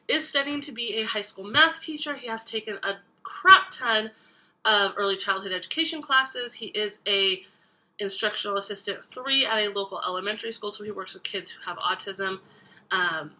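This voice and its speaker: A woman's voice: mid-range voice, sharp tone, poor audio. A young woman in her twenties, congested from a cold, chatting casually to camera about parenting, with many ums and restarts. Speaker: parenting vlogger.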